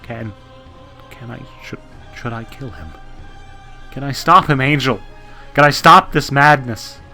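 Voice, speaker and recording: A man's voice: medium pitch, measured pace, pushed and bright, soft background music, pitched above the performer's own voice. A man playing a distraught, devout president in an audio drama, stammering and quavering with melodramatic fervour. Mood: desperate pleading. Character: distraught, fanatically devout male president, easily overwhelmed. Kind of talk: melodramatic radio drama acting.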